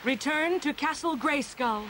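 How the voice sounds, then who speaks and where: Man doing a high-voiced warrior woman. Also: a little slow. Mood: commanding. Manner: firm, earnest calls. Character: brave young warrior woman, commanding animals. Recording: loud hiss of background noise.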